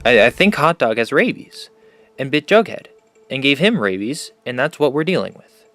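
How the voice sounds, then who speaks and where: An actor playing an earnest, nervous teenage boy in an audio drama, speaking in a halting, stammering way. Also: mid-range voice, very animated, a bit bright. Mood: hesitant, worried. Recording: music under the voice. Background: prominent music.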